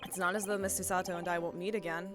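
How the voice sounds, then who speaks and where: A woman's voice: level tone, rapid-fire. A young woman streaming a game with friends, chatting, laughing and reading out dialogue, with game music behind. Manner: giggly, swearing, reads dialogue in a lower, quieter voice. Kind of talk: casual let's-play chat and text reading.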